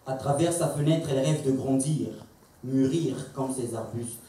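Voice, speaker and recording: A man recites slam poetry on stage in a solemn, impassioned, rhythmic delivery with pauses. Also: mid-range voice, mellow. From Congo. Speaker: slam poet. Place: indoor performance venue. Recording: echoey hall, distant microphone.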